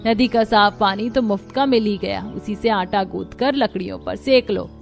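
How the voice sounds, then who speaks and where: A woman's voice: medium pitch, moderate pace, creaky, soft background music, lightly acted. A woman voicing a wry, practical old village mother-in-law in a cartoon story, plain-spoken and scolding. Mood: wry, resigned. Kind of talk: Hindi cartoon story dub.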